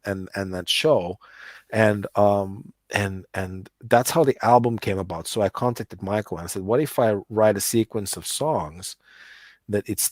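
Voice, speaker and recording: Middle-aged man, low pitch, dry close mic; loose, rambling interview talk full of restarts. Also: darkish tone, dry recording. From United States. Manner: rambling, many restarts and repeated words. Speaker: musician guest. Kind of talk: casual interview chat.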